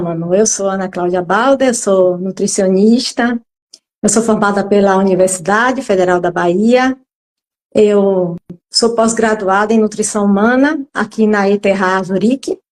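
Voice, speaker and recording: A woman's voice: mid-range voice, normal speed, darkish tone. Polite woman nutritionist guest. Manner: modest, polite, with many fillers. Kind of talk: live stream interview.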